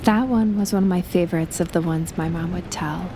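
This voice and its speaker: A woman playing a gentle, shyly affectionate best friend in an intimate audio roleplay, speaking softly and hesitantly with a breathy, near-whispered voice. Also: on the low side, background noise, natural voice. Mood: calm, reflective. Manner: soft, hesitant, slow with long pauses. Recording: loud rain and thunder throughout. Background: rain and thunder effects.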